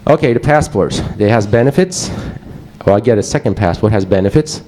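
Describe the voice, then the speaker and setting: Man with a Swedish accent and a low, warm voice, presenting casually and wryly to a crowd. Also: lively intonation, brisk, slight background noise, low-quality recording. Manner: casual, joking, digressive. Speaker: conference speaker. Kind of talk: presentation talk to a live audience.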